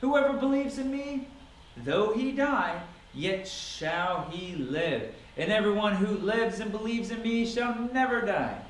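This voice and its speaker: A man's voice: high voice, unhurried, voice pushed up. A man voicing a solemn, commanding holy teacher in a dramatic scripture reading, declamatory and emphatic. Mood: fervent. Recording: echoey room, distant mic, noisy.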